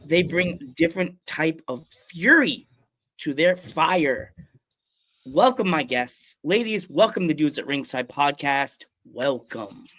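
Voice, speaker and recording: A man's voice: fairly high pitch, lively intonation, slow pace, fairly bright tone. A man hosting a wrestling podcast, enthusiastically welcoming and interviewing guests in a casual chat. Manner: enthusiastic, repeats "awesome". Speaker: podcast host.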